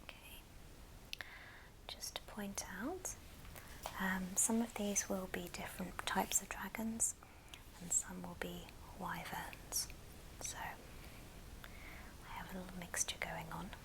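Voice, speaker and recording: Woman asmr host whispering, real slow n gentle, breathy, lots of little pauses. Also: on the low side, level tone, rough recording. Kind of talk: ASMR show and tell.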